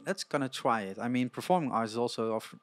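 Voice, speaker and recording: A man hosting a podcast interview, halting and searching for words as he asks questions, in a small studio on a boom mic. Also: expressive. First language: Dutch. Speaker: podcast host. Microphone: studio mic on boom arm, headphones.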